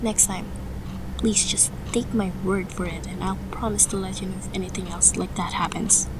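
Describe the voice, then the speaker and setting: Woman acting teenage girl mage, stubborn, tired, defensive, mid-pitched voice, audio drama, noisy home recording. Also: fairly even intonation, moderate pace, close to an everyday voice. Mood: weary, defensive. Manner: defensive, sighing.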